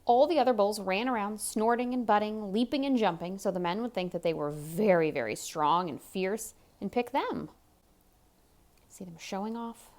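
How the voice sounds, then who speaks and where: A woman's voice: medium pitch, normal speed, a little mellow. A woman reading a children's story aloud expressively, with chatty asides and questions to the young listener. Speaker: picture-book reader.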